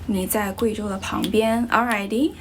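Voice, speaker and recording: A woman's voice: mid-range voice, normal speed, a little hiss or hum. A young Chinese woman teaching English on a livestream, with a Chinese accent and a slight American tinge, chatting casually with lots of fillers. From Guizhou province, China. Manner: relaxed, chatty, many fillers like "you know" and "um". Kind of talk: casual livestream chat answering viewers.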